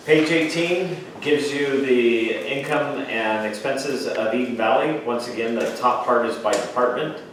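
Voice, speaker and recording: A man giving an explanatory financial report at a board meeting in a plain-spoken, informal way. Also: lowish voice. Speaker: finance officer presenting a report. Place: indoor public board meeting room. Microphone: distant room microphone. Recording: echoey meeting room, distant mic, faint noise.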